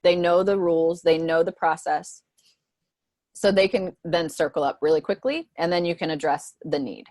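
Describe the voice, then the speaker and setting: A woman guides a group of teachers through a presentation over a video call, in a lowish voice. She talks conversationally and keeps checking in with "right?" asides. The sound is dry and close. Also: fairly even intonation, normal speed, darkish tone, no echo. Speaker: school mentor presenting to teachers.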